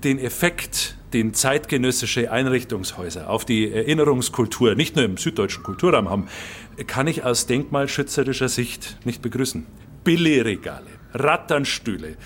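A man's voice: medium pitch, expressive, normal speed, a little hiss or hum, natural voice. A man playing a pompous, fussy heritage official who talks in stilted, hesitant, bookish officialese in a drama. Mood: pedantic, regretful.

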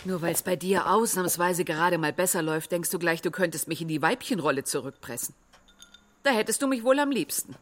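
A woman's voice: lowish voice, expressive, a little fast, natural voice. An actress playing a scornful, combative woman in a bitter quarrel with her partner, biting and mocking, in a radio drama.

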